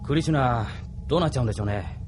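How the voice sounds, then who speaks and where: A man's voice: medium pitch, rapid-fire, music in the background, natural voice. An actor playing an earnest, worried young male bridge crewman in an anime audio drama, questioning his superior.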